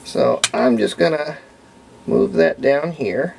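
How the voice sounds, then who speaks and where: A man giving a casual hands-on tutorial in a folksy, unhurried way, thinking aloud as he works, with a gravelly voice. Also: fairly high pitch. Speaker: radio repair hobbyist demonstrating. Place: indoors at home, hands-on at workbench. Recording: faint background noise, home workshop.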